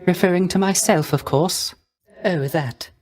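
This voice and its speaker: Melancholy young male bard, soft airy voice, courtly formal diction, earnest, theatrical video game character. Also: high voice, a little slow, faint music behind. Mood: apologetic, deferential. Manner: ornate, formal, deferential diction. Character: gentle, melancholy, courtly young male poet-musician of the underworld.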